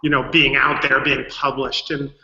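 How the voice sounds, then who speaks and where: Casting: man from long island, bright sharp voice, chatty and agreeable, echoey hall over a call line. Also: medium pitch, level tone, moderate pace, muffled recording. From Long Island, USA. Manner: conversational, agreeable, many "right?" and "like". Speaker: keynote speaker. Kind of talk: casual video call conversation. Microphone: video call.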